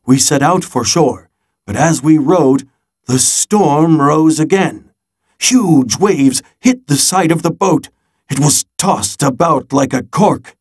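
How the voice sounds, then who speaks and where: A man voicing a storybook grandfather who recounts his seafaring adventure in a dramatic, measured way with suspenseful pauses. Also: a little high, slightly rough. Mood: reminiscing.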